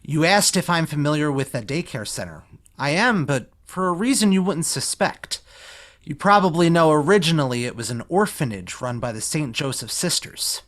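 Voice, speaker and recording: A man's voice: a little high, animated, moderate pace, a bit bright. A man playing a courteous, reassuring professional in an online table read of a stage musical, over a video call.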